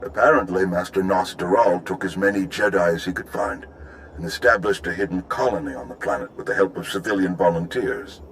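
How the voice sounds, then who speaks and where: A courtly earnest general in a game, really low voice, formal and polished, faint noise behind. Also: male voice, fairly bright tone, rough recording. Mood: grave, measured. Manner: measured, formal, polished delivery. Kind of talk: scripted game cutscene dialogue.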